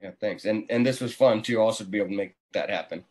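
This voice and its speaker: Low-voiced man chatting on a video call. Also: fast talker, darkish tone. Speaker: remodeling contractor, guest. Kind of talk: casual interview talk. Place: small indoor room, video call. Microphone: computer mic on a video call.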